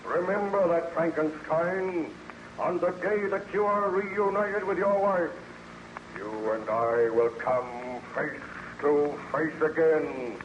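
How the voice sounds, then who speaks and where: A man playing a vengeful, tormented monster in an old-time radio drama, speaking slowly and grandly with menace. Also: on the high side, echoey room, muffled recording. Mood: bitter, menacing. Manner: slow, grandiose, threatening. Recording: noisy old radio recording.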